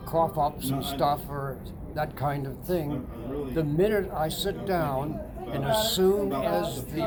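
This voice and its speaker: A very old man speaks slowly from inside a sauna, with loud crowd noise behind him. His voice sits a little high for a man, and he halts often with fillers and restarts. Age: older. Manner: halting, many fillers and restarts. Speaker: sauna customer. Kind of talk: casual testimonial chat. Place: indoors, seated in a sauna.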